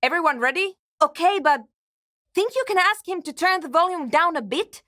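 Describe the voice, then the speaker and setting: A woman's voice: very high voice, lively intonation, moderate pace, bright, forceful tone, no echo, studio quality, heavily acted. A woman playing a guarded, impatient and anxious programmer in a video game, with a terse, precise and matter-of-fact way of talking. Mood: anxious, pleading. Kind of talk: scripted game character dialogue.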